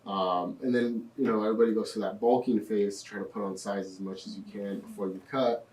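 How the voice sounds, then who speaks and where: A young man in his twenties, a podcast guest telling his story in a relaxed way with lots of fillers. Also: on the low side, brisk, far from the mic. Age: 20s. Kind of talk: casual interview. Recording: echoey room, off-mic.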